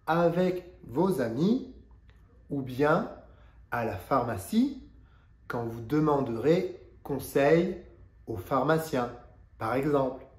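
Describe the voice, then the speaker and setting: A man in his forties from France with a stuffy nose from a cold, teaching a language lesson to camera slowly and deliberately. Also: a little high, a little mellow, roomy sound. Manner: slow, deliberate teaching delivery. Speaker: French teacher. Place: living room indoors. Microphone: lapel mic.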